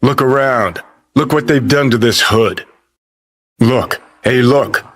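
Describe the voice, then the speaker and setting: An actor playing a cynical rebel rockerboy ghost in a video game, talking in a gruff, sardonic drawl with clipped phrases. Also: male voice, mid-range voice, slow, fairly bright tone. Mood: bitter, sarcastic. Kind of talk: video game character voice acting.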